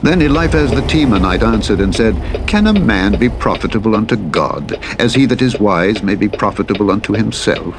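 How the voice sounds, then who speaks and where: A man giving a solemn, deliberate scripture reading in short phrases, as an audiobook narrator. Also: expressive. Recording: played over loud truck engine rumble. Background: loud vehicle noise from a truck driving game.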